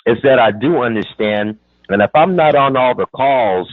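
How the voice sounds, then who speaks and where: A man's voice: medium pitch, normal speed, dry recording. Man in his forties on phone line. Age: 40s. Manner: interrupting, repeats words, pushes back. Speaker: caller on an activist conference call. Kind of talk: heated phone call.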